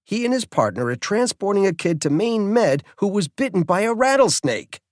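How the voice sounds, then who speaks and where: Audiobook role: male narrator as jaded middle-aged warden, excitable, emphatic, voice pushed high, dry studio sound. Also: normal speed, no echo. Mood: excited, urgent.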